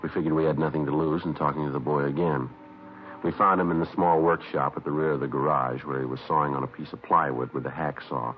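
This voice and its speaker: A clipped, matter-of-fact police detective with an extremely deep voice. He talks quickly, narrating and questioning in a 1950s radio crime drama. The old recording is dull with a faint hiss. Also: fairly even intonation.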